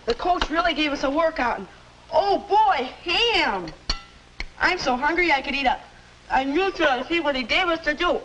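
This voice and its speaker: A man's voice: extremely high pitch, lively intonation, moderate pace. A young male actor playing a sulky 14-year-old American boy in a 1950s educational film. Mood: eager, hungry. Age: teenager. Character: sulky, bad-mannered 14-year-old boy, casual and reluctant. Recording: noisy 1950s film soundtrack.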